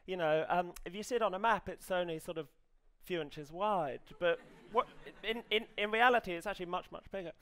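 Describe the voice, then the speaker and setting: An English man in his thirties giving a live comedy reading as a writer, in a hesitant, earnest, deadpan manner. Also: high-pitched, brisk. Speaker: comedian reading as an amateur novelist character. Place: small stage set indoors, before an audience. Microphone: headset.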